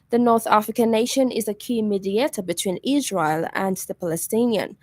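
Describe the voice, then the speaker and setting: A woman's voice: medium pitch, darkish tone, no echo. Zambian woman reading the radio news. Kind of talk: scripted news bulletin reading. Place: radio studio.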